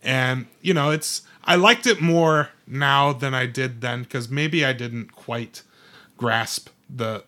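A man's voice: mid-range voice, takes it slowly, bright, forceful tone. A middle-aged man giving his opinions as a podcast co-host in casual conversation. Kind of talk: casual movie discussion.

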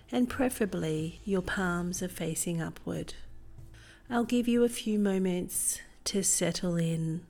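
A woman narrating a guided meditation in a gentle, soothing manner with deliberate phrasing. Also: on the low side. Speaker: meditation guide and podcast host.

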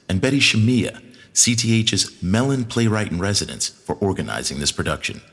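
A man's voice: lowish voice, fairly bright tone, slightly rough, in a large hall. A man reading scripted closing credits as the announcer of an audio theater production.

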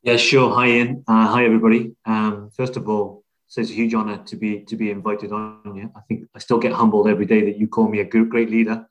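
Middle-aged British male business leader as interview guest, lower register, reflective and halting conversational storytelling. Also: little pitch movement, highs cut off. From Wales, UK. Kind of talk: conversational interview, telling own career story.

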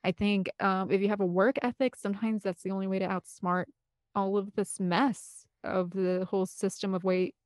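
A woman's voice: medium pitch, steady tone, normal speed, a little mellow, no room sound, clean studio sound. A woman speaking as an interview guest, talking candidly and emphatically in a rambling, opinionated way. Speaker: interview guest, film composer and director.

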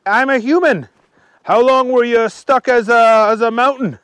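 Guy doing a keen young hero for a kids story, pitched up high, earnest and chatty. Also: a little slow, a bit bright, clean tone, no echo. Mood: eager, curious. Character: eager, kindly young human adventurer named Archie. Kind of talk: children's storytelling character voice.

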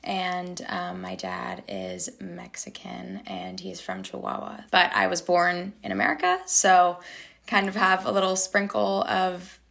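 Young actress in an interview, a little low, chatty and reflective, some fry. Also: female voice, animated, unhurried. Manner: chatty, with many fillers and soft laughs. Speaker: actor guest. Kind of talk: interview answers, casual and reflective.

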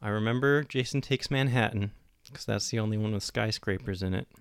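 A man's voice: fairly low pitch, a little fast, creaky, clean tone, no echo, clean studio sound. A man chatting casually and jokingly as a guest on a movie podcast, with a laid-back manner. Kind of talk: casual chat.

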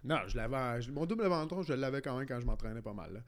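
A man's voice: mid-range voice, expressive, fast. Rambling Québécois man in his late 30s. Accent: Québécois French. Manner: rambling storyteller, lots of fillers. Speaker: podcast co-host. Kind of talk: casual chat.

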